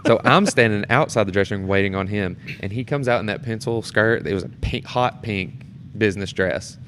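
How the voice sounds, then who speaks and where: A man chatting casually and telling stories in an animated way, acting out how people react. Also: fairly low pitch, brisk. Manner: animated storyteller, acts out reactions. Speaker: guest, amateur stage performer. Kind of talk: casual storytelling chat. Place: temporary studio in a theatre. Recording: clear background noise and room ambience.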